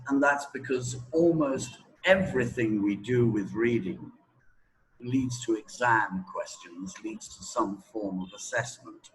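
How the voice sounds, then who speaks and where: A man speaks at a slow, deliberate pace over faint background noise. He gives his opinions conversationally, as an expert being interviewed, pausing often as he goes. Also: mid-range voice, poor audio.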